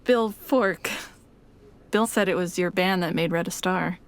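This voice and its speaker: An actress playing a curious, frank office colleague in an audio drama. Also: female voice, fairly low pitch, unhurried. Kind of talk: naturalistic audio drama acting.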